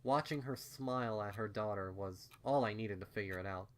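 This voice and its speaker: A man's voice: fairly low pitch, moderate pace. A man reading fan fiction aloud as scripted story narration.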